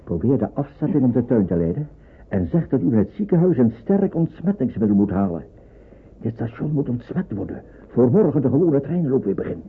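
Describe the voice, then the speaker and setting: Resolute wartime doctor, tinny recording. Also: male voice, mid-range voice, sing-song, fairly quick, slightly rough, full character voice. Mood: urgent, conspiratorial. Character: calm, resolute doctor secretly rescuing deportees in wartime. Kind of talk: Dutch radio drama acting. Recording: tinny, band-limited old radio recording, faint noise.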